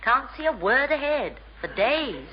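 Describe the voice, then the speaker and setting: An actress playing an exasperated, sharp-tongued English wife in a 1960s British radio sitcom, dry and sardonic. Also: female voice, high voice, sing-song, a little slow. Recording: dull, slightly noisy old radio recording.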